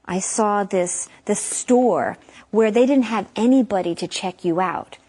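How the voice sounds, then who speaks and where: A woman's voice: mid-range voice, unhurried, warm tone, slightly rough. A woman co-hosting an English lesson, friendly and chatty, asking questions. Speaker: co-host. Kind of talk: casual chat in an English lesson.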